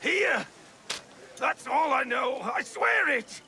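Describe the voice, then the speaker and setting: A man's voice: very high-pitched, big pitch swings, slow pace, bright, forceful tone, slight background noise, full character voice. An actor playing a frightened, pleading apothecary man in a video game cutscene. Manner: panicky, pleading.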